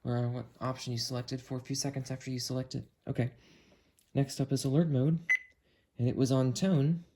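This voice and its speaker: A man talks through a casual hands-on product demo, thinking aloud at an unhurried pace. There is clear noise behind him, with clicks from handling the device. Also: medium pitch, fairly even intonation, warm tone. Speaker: video creator demonstrating a weather radio. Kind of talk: casual hands-on review narration.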